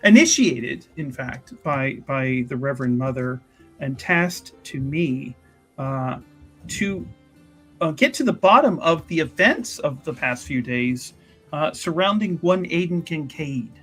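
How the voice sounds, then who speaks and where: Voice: mid-range voice, expressive, measured pace, fairly bright tone, husky. A player voicing a polite, hesitant female church investigator who repeats words while probing, in a tabletop roleplay. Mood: inquisitive. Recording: music behind the voice. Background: music.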